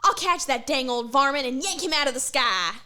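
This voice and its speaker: A woman's voice: sits high, expressive, brisk, pushed and bright. A woman doing a folksy cowgirl student. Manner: folksy, exclaiming. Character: folksy young woman student with a cowboy manner.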